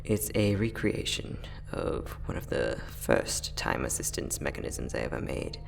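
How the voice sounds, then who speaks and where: A man playing a friendly, teasing time-traveling inventor, soft-spoken and chatty in an ASMR roleplay audio drama. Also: very deep voice, very animated, unhurried, creaky, heavily acted. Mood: eager, proud. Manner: soft-spoken, chatty, playful, with frequent chuckles.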